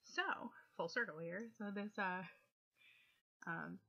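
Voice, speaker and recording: A woman in her thirties chatting casually in a vlog, rambling with many fillers, her voice going hoarse from a cold. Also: medium pitch, takes it slowly, sharp tone, lo-fi sound. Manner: rambling, lots of ums and likes. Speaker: vlogger.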